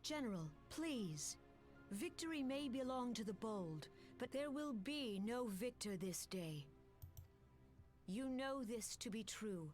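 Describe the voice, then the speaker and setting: A woman playing a solemn, composed elven leader in a video game, speaking formally and gravely. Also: on the high side, expressive, slow pace, darkish tone, soft background music. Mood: grave, pleading. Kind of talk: dramatic video game acting.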